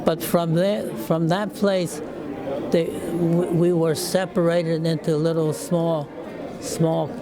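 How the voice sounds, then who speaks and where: Older male veteran, nineties, slightly high voice, halting recollection with chuckles. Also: flat delivery, crowd noise, rough recording. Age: 90s. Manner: halting, many restarts, chuckles often. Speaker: war veteran. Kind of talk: casual reminiscing.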